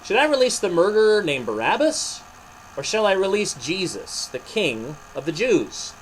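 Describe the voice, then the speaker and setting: A man reading a storybook aloud, playing a commanding but exasperated Roman governor with loud, emphatic questions. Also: high-pitched, big pitch swings, moderate pace, slight background noise, pitched above the performer's own voice, rough recording. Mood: proclaiming.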